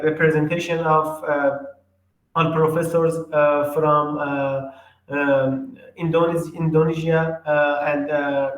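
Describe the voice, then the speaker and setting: Guy presenting at a workshop, lots of uhs, slightly high voice, echoey room. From Iran. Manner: hesitant, heavy with filler sounds. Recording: roomy echo.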